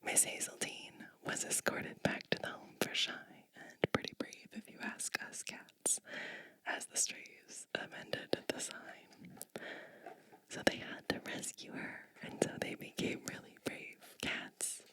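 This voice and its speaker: A woman whispers a children's storybook in an ASMR style. Her breathy whisper is bright and airy, and she takes it very slowly, lingering gently on phrases and slipping in soft asides about the pages. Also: no room sound, rough recording. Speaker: ASMR channel host reading a picture book.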